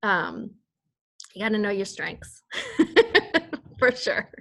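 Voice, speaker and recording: A woman's voice: medium pitch, sing-song, very slow, vocal fry. A woman with a Boston accent leading a casual team call, chatty and rambling, laughing often. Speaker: fitness team leader.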